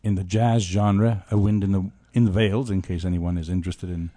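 Male radio host, low voice, casual on-air chat, close dry studio sound. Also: fairly even intonation, moderate pace, dark tone, no echo. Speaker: radio show host and storyteller.

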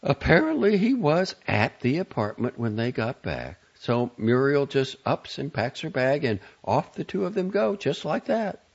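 A brash, bossy woman from an audiobook, acted out by a male narrator, irritable and sardonic. The lines swing widely in pitch with a gravelly edge, and the recording is a little dull. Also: very animated, measured pace, few pauses, full character voice. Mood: breezy, weary, bossy. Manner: sardonic, clipped and emphatic. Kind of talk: audiobook character dialogue.

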